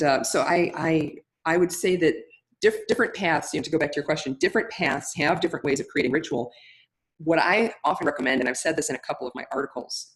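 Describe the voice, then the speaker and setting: Chatty woman with a big, loud voice. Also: low-pitched, low-quality recording. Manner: chatty, many restarts and fillers. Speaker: ritual facilitation teacher. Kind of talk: webinar teaching, answering a question.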